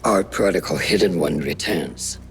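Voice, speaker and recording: An actor playing a calm, analytical member of a secret order, measured and serious, in a video game. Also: male voice, low voice, natural voice. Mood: sober. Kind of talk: video game cutscene acting. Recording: music behind the voice.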